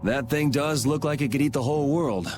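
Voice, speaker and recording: A man's voice: a little high, brisk, music in the background, natural voice. A man voicing a cool, casual, teasing young swordsman hero in a video game. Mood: level.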